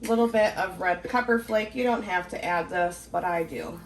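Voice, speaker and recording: A woman hosting a home canning tutorial, talking in a relaxed, chatty way, a little congested from a cold. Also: lowish voice, normal speed, echoey room, slight background noise. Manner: relaxed, chatty, laughs easily. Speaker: home canning channel host. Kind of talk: casual cooking tutorial.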